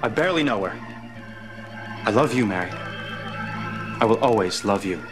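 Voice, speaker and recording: An actor playing an anguished, remorseful lover in old-time radio melodrama, over organ music. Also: male voice, on the low side, expressive, a little slow. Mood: anguished, pleading. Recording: loud organ music behind.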